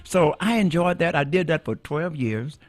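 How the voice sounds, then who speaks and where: An older man from Mississippi, a former radio DJ and minister, telling his life story in a relaxed, folksy way with many restarts and chuckles. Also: on the high side, normal speed. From Mississippi, USA.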